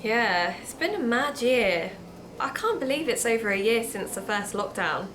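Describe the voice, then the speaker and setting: A woman's voice: on the high side, very animated, moderate pace, background noise. A woman playing a keen young amateur podcaster in an audio drama, chatty and explanatory in manner. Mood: friendly, casual. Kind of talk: naturalistic audio drama dialogue and narration.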